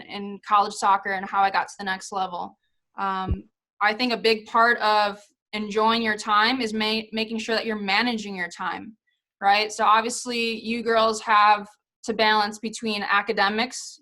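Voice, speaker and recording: Bright-voiced woman speaking on a video call. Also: mid-range voice. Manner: chatty, many fillers and hedges. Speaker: guest speaker, former pro soccer player. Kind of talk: casual talk on a video call.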